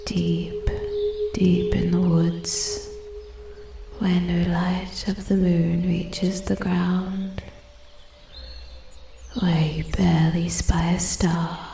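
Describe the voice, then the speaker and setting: A woman voicing an ancient female forest spirit in soft-spoken ASMR roleplay, breathy and often whispering, formal, intrigued and quietly menacing. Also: fairly low pitch, heavily acted. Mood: intrigued, hushed. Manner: slow, deliberate, archly formal. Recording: echoey, with steady ambient forest noise. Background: forest ambience, birds and animal sounds.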